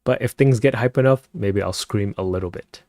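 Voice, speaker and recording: A man chatting casually with viewers on a live stream, speaking softly and keeping his voice down at night at home, and laughing often. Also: fairly quick, dry recording, clean studio sound. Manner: soft-spoken, keeps voice low at night.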